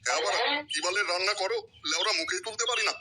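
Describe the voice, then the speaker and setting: A man acts out a grouchy village husband from a crude cartoon. His voice is high for a man and he rattles off coarse complaints quickly. The recording is very noisy. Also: close to an everyday voice. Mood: irritated, scornful. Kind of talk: crude comic cartoon dialogue.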